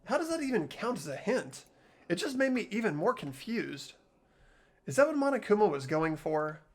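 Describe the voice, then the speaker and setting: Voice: medium pitch, very animated, normal speed, voice pushed up. A fan dubber voicing an anxious teenage boy student, narrating his worried inner thoughts in a game. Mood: puzzled. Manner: earnest inner monologue, worried questioning. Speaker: host and lead voice actor.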